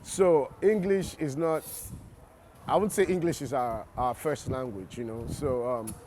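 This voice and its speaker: A man's voice: mid-range voice, measured pace, darkish tone, slight background noise. A Nigerian man, an actor in an interview, explaining his craft reflectively and often restarting his sentences. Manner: reflective, restarts and repeats words while explaining. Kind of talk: interview answers.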